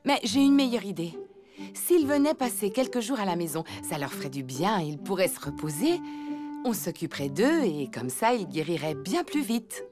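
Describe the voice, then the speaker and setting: A woman's voice: medium pitch, expressive, moderate pace, over music, natural voice. A woman voicing a caring but bossy elephant mother in a French cartoon.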